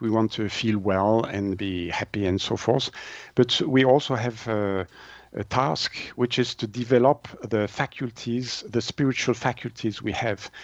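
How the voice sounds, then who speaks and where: A man from Switzerland, a native French speaker with a French-speaking Swiss accent, explains things as an interview guest, haltingly searching for words and correcting himself. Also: mid-range voice, darkish tone, no room sound. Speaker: guest, naturopath and author. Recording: muffled, dull lo-fi audio.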